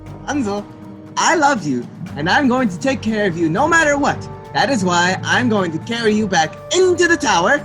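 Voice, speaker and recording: A woman's voice: mid-range voice, sing-song, moderate pace, over music, natural voice. A woman playing a lovestruck, overprotective fairy-tale prince in a radio comedy, pleading and melodramatic. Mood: worried, pleading. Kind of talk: irreverent radio play acting.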